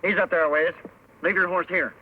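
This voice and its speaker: A man's voice: high voice, fairly even intonation, brisk, lightly acted. An actor playing a wary outlaw henchman with a clipped, suspicious manner in an old-time western radio drama. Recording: tinny, very noisy old radio recording.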